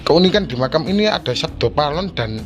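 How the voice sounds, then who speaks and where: A man hosting a casual vlog outdoors, asking quick questions and repeating the answers back. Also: on the high side, expressive. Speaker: vlog host. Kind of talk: casual field vlog interview. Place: outdoors at an old graveyard. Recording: music bed under the talk.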